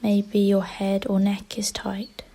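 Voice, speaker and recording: Slow, mellow woman guiding a meditation. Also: mid-range voice, completely flat delivery. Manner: gentle, unhurried, pausing between short instructions. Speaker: guided meditation leader. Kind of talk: guided meditation. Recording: faint outdoor ambience with birdsong. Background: birdsong.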